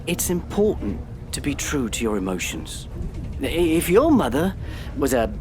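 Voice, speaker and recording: An actor in an audio play voicing an earnest, patient GP, slightly exasperated, who reasons carefully and haltingly. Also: male voice, mid-range voice, big pitch swings, music in the background. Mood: firm, reassuring.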